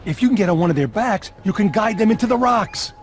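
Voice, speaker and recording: A man voicing an eager, anxious expectant father mammoth in an animated game, with a wry, exclamatory delivery. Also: sits high, fast, a little hiss or hum. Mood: scheming, upbeat.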